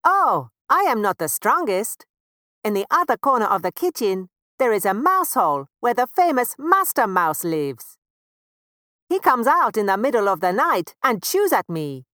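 A woman voicing a tired, rueful talking rope, speaking loud and earnest. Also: a little high, big pitch swings, moderate pace, dry recording, studio quality, pitched above the performer's own voice.

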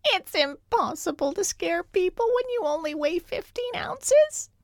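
Tiny storybook monster, female, extremely high squeak, indignant, proud, dry close sound. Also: lively intonation, unhurried, no room sound, heavily acted. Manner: squeaky, earnest protesting. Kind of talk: children's storybook character reading.

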